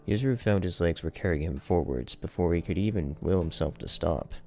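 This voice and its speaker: A man's voice: low-pitched, fairly quick, darkish tone. A man giving a serious, dramatic reading of fiction as an audiobook narrator.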